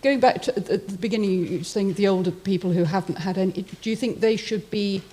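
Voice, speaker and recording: A woman's voice: medium pitch, a little fast, mellow, poor audio. A woman hosting a live discussion with brief prompts and affirming interjections. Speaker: discussion host. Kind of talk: live event moderation.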